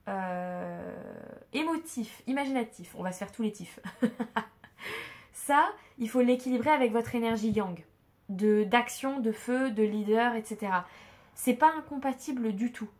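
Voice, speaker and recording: Woman, head cold, nasal and stuffy; chatty tarot reader, halting, lots of "euh". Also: fairly high pitch, a little mellow. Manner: hesitant, many fillers and restarts. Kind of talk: casual spoken tarot reading to viewers.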